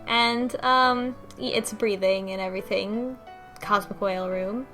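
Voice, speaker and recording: Woman, podcast host, middle pitch, conversational recap, thinking aloud, faint background music. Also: fairly even intonation, unhurried, pushed and bright. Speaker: book club podcast host. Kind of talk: casual recap discussion of a game story.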